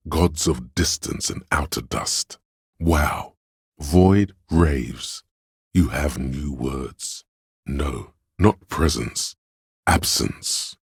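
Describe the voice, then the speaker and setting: A man's voice: dry recording, studio quality, full character voice. A man voicing a grandiose, rapturous inner voice of artistic imagination in a video game, with theatrical, savouring delivery of poetic lines. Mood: brooding, poetic. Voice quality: very deep, gravelly, husky. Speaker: game voice actor. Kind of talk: dramatic literary game narration.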